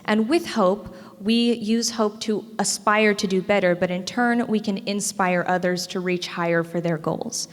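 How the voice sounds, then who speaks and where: Teen female student speaker, American accent, mid-pitched, presenting formally to a meeting in a reverberant hall. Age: teens to young adult, high school student. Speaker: parade court student speaker. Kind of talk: prepared public speech. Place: indoor school meeting room. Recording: echoey hall with long reverb.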